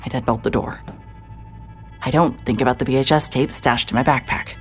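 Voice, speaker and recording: An actress playing a hard-hearted, sardonic private investigator, narrating in a wry, self-mocking way. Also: female voice, a bass voice, fairly quick, faint music behind. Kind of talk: first-person scripted narration.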